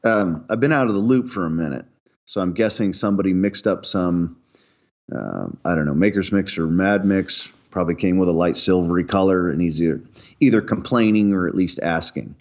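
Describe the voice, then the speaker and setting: A man co-hosting a podcast in casual chat, with a cold and a cough, relaxed and joking with some hesitation. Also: deep voice, a little fast, muffled recording. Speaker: podcast co-host.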